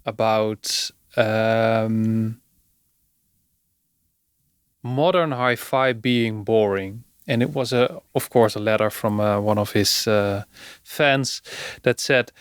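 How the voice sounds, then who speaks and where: A man hosting a podcast, explaining things conversationally in measured phrases with pauses. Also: lowish voice, clean tone, dry recording, clean studio sound. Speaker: podcast host.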